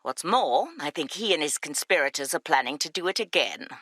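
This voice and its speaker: A woman's voice: low-pitched, lively intonation, brisk, fairly bright tone, no echo. An actress playing a ruthless, calculating female spy, in a video game. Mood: menacing. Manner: cool, menacing.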